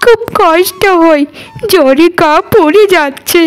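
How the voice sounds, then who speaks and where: A woman's voice: very high-pitched, measured pace. A woman voicing a sick, plaintive village girl in a cartoon, with a whining manner. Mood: suffering, plaintive. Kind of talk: Bengali cartoon dialogue.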